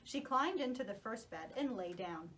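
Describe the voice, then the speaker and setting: High-voiced, animated woman storyteller. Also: moderate pace. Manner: animated, reassuring. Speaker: storyteller and puppet show host. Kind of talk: children's story narration.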